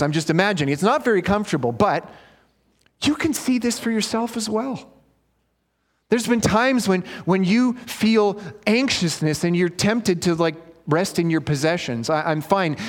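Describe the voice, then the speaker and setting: A male pastor preaching a sermon, reading scripture and then explaining it in an earnest, conversational way. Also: high-pitched. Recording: long hall reverb.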